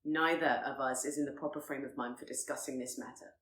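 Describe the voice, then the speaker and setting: A woman voicing a proud, reserved gentleman in a period romance audiobook, speaking formally and with restraint. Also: extremely low pitch, fairly even intonation, brisk, roomy sound, voice pushed down. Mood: stern, clipped. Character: proud, stiff, reserved gentleman, earnestly courting his betrothed.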